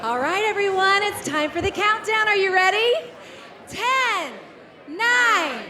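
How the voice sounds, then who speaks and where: A woman shouting out a New Year's party countdown, acted by a woman, very high-pitched and drawn out. A noisy party crowd fills the background. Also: animated, takes it slowly, music in the background, exaggerated voice. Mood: excited. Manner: loud, calling out to a crowd.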